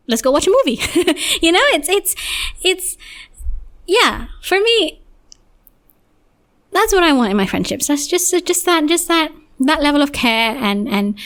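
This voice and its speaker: A young woman talks through her thoughts on a solo podcast, her voice a touch hoarse. She rambles and restarts often, reflective and unhurried. Her pitch sits slightly above the usual. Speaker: podcast host.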